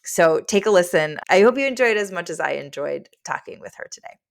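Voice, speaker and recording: A woman with a slightly low voice hosts an education podcast. She introduces her guest and asks questions in an enthusiastic, encouraging manner. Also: animated, fairly quick, vocal fry. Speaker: podcast host. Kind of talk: interview hosting, scripted intro.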